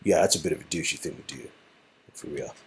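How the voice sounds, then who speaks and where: A man's voice: extremely low pitch, quick pace, breathy edge. A man casually narrating an interactive story game and chatting in asides with his co-reader.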